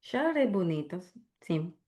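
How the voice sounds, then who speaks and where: A woman from the United States, a language learner, giving slow, careful short answers in an interview. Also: lowish voice, big pitch swings, a little mellow.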